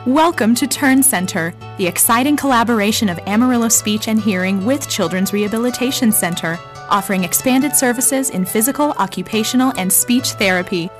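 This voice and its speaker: A woman's voice: fairly high pitch, a little fast, soft background music. A woman narrating a scripted, inspirational local commercial.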